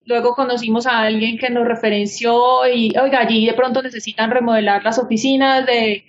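A woman's voice: fairly high pitch, little pitch movement, fairly quick. A woman, an architect and business owner, answering interview questions earnestly with many fillers. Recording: dull lo-fi sound.

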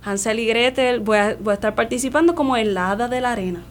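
A young Puerto Rican woman with a higher voice, the music student being interviewed on a local program, recounting things with animated emphasis. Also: fast talker. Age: young adult. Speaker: guest, classical soprano and voice student. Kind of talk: casual interview chat.

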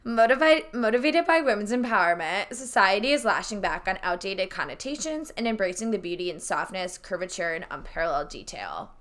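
A woman's voice: medium pitch, very animated, brisk, few pauses, a bit bright. A woman in her twenties co-hosting a fashion podcast, chatting in a bubbly, enthusiastic, slangy way. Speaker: podcast co-host. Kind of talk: casual chat.

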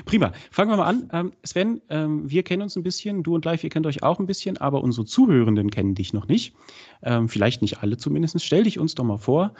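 A man hosting a podcast in casual chat, with a cold, chatty and filling pauses. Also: on the high side, lively intonation, a little mellow, breathy edge. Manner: chatty, many fillers. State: has a cold. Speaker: podcast host. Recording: dull lo-fi sound.

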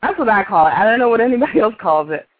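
A woman's voice: on the high side, lively intonation, racing, phone-quality sound. Lady co-host bantering on a radio show, thin phone sound, no room echo. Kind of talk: casual chat and banter.

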